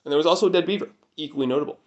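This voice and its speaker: A man's voice: on the high side, very fast, darkish tone, highs cut off. A nasal young man giving a wry, rambling recap of a family trip in mock-formal wording. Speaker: vlogger.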